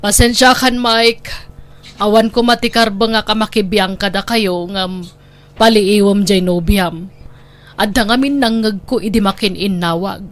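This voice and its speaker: A woman's voice: mid-range voice, fairly even intonation, measured pace, close to an everyday voice. A woman with an Ilocano accent playing a nosy, concerned motherly neighbour in a radio drama, frank and inquisitive.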